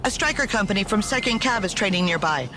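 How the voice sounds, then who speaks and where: A woman's voice: medium pitch, expressive, quick pace, noisy background, lightly acted. A woman playing a dutiful army major in a video game, giving efficient, formal military reports. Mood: businesslike. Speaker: army major, aide to a general. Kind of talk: dramatic video game voice acting. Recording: loud music behind the voice.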